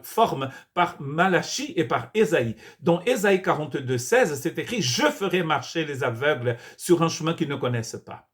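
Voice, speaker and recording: A man from Brazil, a pastor, preaching a sermon in earnest, often restarting and repeating words. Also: a little high. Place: church.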